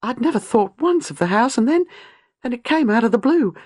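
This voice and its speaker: A woman's voice: a little high, lively intonation, brisk, darkish tone, no room sound, clean studio sound, pitched above the performer's own voice. A woman voicing a panicked, frightened young woman. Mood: terrified. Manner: breathless, pleading, rising panic.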